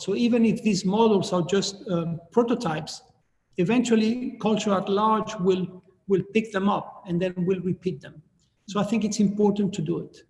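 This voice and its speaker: A middle-aged man from Chile, speaking as an artist guest on a video call, answering questions in a measured way and often restarting phrases as he thinks. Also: high-pitched, darkish tone. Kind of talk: thoughtful Q&A answers. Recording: echoey room with long reverb.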